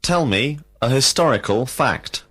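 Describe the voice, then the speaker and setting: A man reading out slow, carefully enunciated drill questions as an English teacher in a recorded lesson. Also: medium pitch, expressive, a bit bright, slightly rough. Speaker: language teacher.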